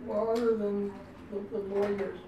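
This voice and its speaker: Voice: medium pitch, steady tone, moderate pace, noisy background. An audience member who also wraps up the session, asking questions in a plain, dry way. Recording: distant voice in an echoey room with crowd.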